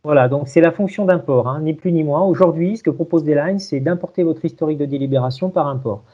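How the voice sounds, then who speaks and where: A man giving an informal technical demonstration over a video call, in a halting way with repeated words. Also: a little high. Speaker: presenter of a software tool. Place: remote video conference. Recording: dull, lo-fi online call.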